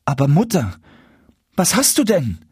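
A man's voice: on the high side, big pitch swings, a little slow, husky, no echo, studio quality, pitched above the performer's own voice, heavily acted. A man voicing a bewildered, tender son turned dwarf in a fairy tale, speaking with earnest pleading. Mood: frightened, hurt. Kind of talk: storybook character voice in a fairy tale reading.

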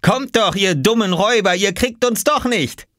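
An excitable little boy from a children's storybook, acted out by a man, speaks in a high, wildly varied pitch. He is bossy and impatient, exclaiming and scolding with urgency. The sound is dry and close. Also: normal speed, dry recording. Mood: gleeful, taunting.

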